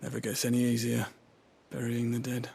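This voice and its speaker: A sombre servant in a video game. His voice is mid-pitched, husky and creaky, delivered in a subdued, dutiful way without flourish. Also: completely flat delivery, moderate pace, darkish tone. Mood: sombre, weary. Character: sombre, dutiful servant man who buries the dead.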